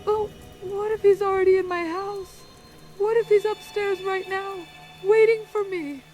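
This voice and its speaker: A woman's voice: high voice, moderate pace, fairly bright tone, soft background music. A woman playing a frightened, urgent radio phone-in caller hiding from an intruder in her home. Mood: panicked. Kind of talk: radio horror drama.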